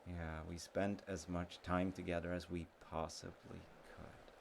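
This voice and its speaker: A man playing a sweet, slightly slow, sentimental childhood friend in an intimate audio roleplay, speaking gently and haltingly, with trailing pauses. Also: low voice, little pitch movement, creaky, a little hiss or hum. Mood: fond reminiscing.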